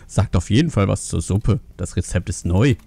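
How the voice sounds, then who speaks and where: A man's voice: on the low side, animated, normal speed, vocal fry, dark tone, husky, heavily acted. A man voicing a cheerful, proud panda father in a fantasy audiobook, with a jolly, sing-song delivery. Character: cheerful, proud panda father serving his home cooking.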